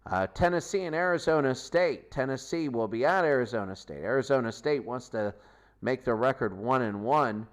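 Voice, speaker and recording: A man's voice: mid-range voice, normal speed. A man hosting a football show, giving a loose, rambling recap of game results and stats, often correcting himself mid-sentence. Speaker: sports show host. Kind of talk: unscripted football recap commentary.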